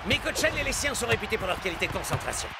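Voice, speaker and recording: A man voicing an excitable cartoon football commentator over loud music. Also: high voice, very fast, vocal fry, heavy background noise, exaggerated voice. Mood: excited. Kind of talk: animated sports commentary, cartoon dub.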